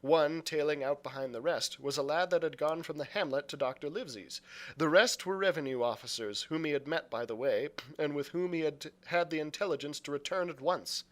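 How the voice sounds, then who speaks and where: A man's voice: a little high, a little fast. A man reading an adventure novel aloud as a librarian, in dramatic storybook narration.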